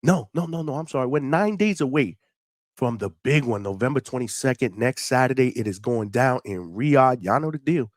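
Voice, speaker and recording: A middle-aged man hosting a live boxing call-in stream, chatting casually in hyped, slangy talk with shout-outs and free swearing. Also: medium pitch, animated, moderate pace, no room sound, clean studio sound. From New York City, United States. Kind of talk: casual livestream chat with viewers.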